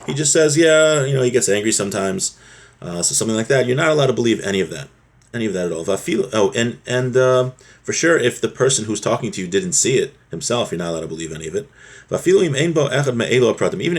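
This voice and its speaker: A man giving an informal religious text lesson as a teacher, reading and explaining in a conversational way. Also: fairly low pitch. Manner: conversational, explanatory, acts out short examples. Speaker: Torah teacher.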